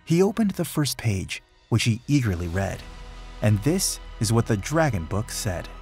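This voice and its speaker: A man reading a rhyming children's picture book aloud in an expressive, dramatic storytelling manner. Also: on the low side, moderate pace, vocal fry, faint music behind. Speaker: storybook reader.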